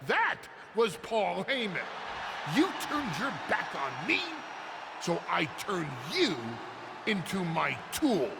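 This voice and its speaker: Casting: scheming, pompous wrestling manager in a game; high, slow, theatrical oratory over noisy arena ambience. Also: male voice, very animated, vocal fry, heavily acted. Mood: smug, menacing. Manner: grandiose, theatrical oratory with drawn-out emphasis. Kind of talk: dramatic in-ring wrestling promo.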